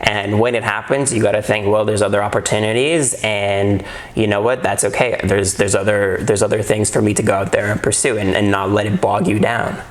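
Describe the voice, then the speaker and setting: A man's voice: fairly low pitch, level tone, brisk, few pauses. A man hosting an interview, encouraging his guest and paraphrasing his points back to him. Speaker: interview host. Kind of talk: casual interview conversation.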